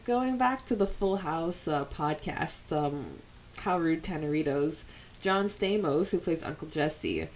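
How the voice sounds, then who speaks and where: A woman with a slightly low voice co-hosts a fan podcast. She moves between casual chat and reading listener comments aloud. Her delivery is giggly and enthusiastic. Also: lively intonation, a little slow, darkish tone, a little hiss or hum, rough recording. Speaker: podcast co-host.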